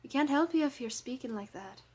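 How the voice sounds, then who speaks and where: A woman voicing a strong male dwarf villager, firm and gruffly reassuring, in a fantasy story. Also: sits high, lively intonation, a little fast, pitched above the performer's own voice. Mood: steady, reassuring.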